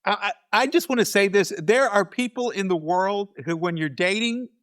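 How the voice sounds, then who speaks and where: Male interview guest. High voice, casual, self-deprecating, emphatic repeats. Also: moderate pace, a bit bright, clean tone.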